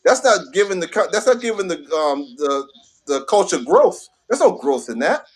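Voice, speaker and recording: A man's voice: high voice, big pitch swings, normal speed. A middle-aged American man chatting casually as an interview guest, emphatic and slangy, often repeating himself to drive a point home. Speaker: guest, veteran hip hop DJ and host. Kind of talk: casual chat.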